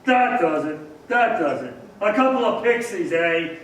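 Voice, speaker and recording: A man playing a gruff, suspicious working man in a stage radio play, curt and brusque. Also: sits high, lively intonation, unhurried, fairly bright tone. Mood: angry, bullying. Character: gruff, hostile working man of a tough town. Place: live stage in a large echoing hall. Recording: echoey hall from far off, faint noise.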